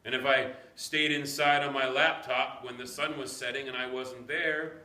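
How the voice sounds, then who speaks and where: A man's voice: medium pitch, fairly even intonation, moderate pace, pushed and bright, natural voice. Man playing a folksy old grandpa in an echoey room. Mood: gently earnest. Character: warm, teasing, nostalgic old grandfather in the countryside. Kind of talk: staged play reading. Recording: echoey room, background noise.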